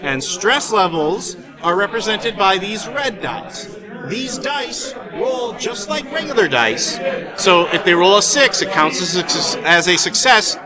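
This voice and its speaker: A man running a live tabletop game as game master, explaining rules in halting phrases. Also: high voice, animated. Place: live convention event, indoors. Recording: loud crowd noise behind.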